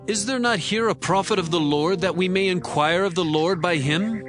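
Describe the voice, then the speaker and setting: A man's voice: high voice, normal speed, over music, natural voice. An actor playing a loyal, devout ancient king, earnest and declamatory, in a scripture drama.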